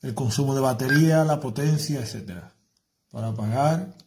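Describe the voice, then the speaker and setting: A man's voice: mid-range voice, fairly quick. A man informally demonstrating a product as a reviewer, explaining it step by step. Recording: faint background noise with clicks.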